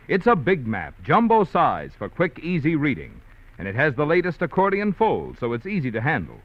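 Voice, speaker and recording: A polished sponsor announcer from an old radio program sells in an upbeat, salesy way. His voice sits slightly high and swings lively in pitch. The sound is dull and lo-fi, like a 1950s broadcast. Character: smooth male sponsor announcer.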